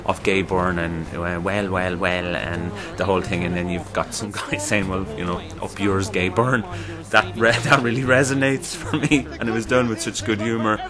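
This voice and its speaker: A middle-aged man with a very deep voice speaks with enthusiasm, hammering his points home. He sounds warm and conversational. Music plays behind him. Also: fairly even intonation, normal speed, few pauses. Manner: enthusiastic, emphatic. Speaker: interviewee. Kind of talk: documentary interview.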